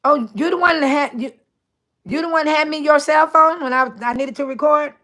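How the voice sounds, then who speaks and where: A woman in her sixties hosting a casual livestream, chatting warmly with viewers by name and laughing often. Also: high voice, moderate pace. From Chicago area. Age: 60s. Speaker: livestream host. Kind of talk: casual livestream chat reading viewer comments.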